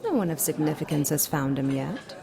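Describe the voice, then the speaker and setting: A woman's voice: low voice, animated, a little fast, creaky, warm tone. A woman voicing a seductive, imperious demon queen in an audio drama, coy, mocking and theatrical. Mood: cool, sly. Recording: crowd chatter behind the voice. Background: crowd chatter.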